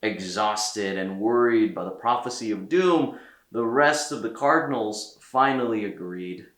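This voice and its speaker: A man reading a children's story aloud as a storyteller, with theatrical delivery and deliberate pauses. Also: on the low side, a bit bright, echoey room. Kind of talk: expressive read-aloud narration for children.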